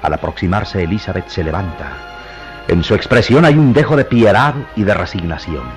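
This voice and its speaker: A man narrates a radio drama in a low voice with lively, swelling intonation. The scripted delivery is theatrical, with faint music underneath. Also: moderate pace.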